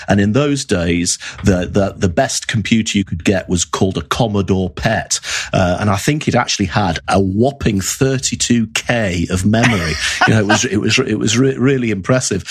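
A man's voice: fairly low pitch, breathy edge, no echo. A middle-aged man with a Northern English accent, chatting casually as an interview guest. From Northern England. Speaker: podcast guest, marketing professional.

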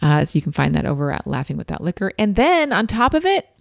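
A woman's voice: lowish voice, very animated, quick pace, gravelly, no echo, studio quality. A woman hosting a podcast in upbeat, playful casual chat, interviewing a guest. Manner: upbeat, playful, plugs merch. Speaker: podcast host.

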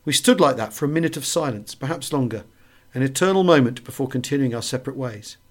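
Male audiobook narrator, mid-pitched voice, for literary first-person wartime memoir. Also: quick pace.

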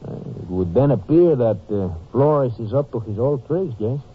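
A man's voice: mid-range voice, expressive, normal speed, husky. A man acting a courteous, earnest Mexican police officer with a Mexican accent and formal, careful phrasing in an old-time radio drama. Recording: noisy, narrow-band old radio recording.

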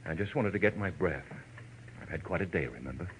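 An actor playing a cold, calculating talent agent turned murderer in a 1940s radio crime drama, with controlled, emphatic delivery. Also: male voice, sits low, expressive, brisk. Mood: cold menace. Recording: noisy old radio recording.

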